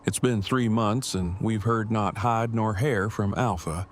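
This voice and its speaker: A man playing a formal, wordy, deadpan young father and husband in an audio drama, with precise phrasing. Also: lowish voice, unhurried, a little hiss or hum, lightly acted. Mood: calm, reassuring. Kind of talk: fan audio drama acting.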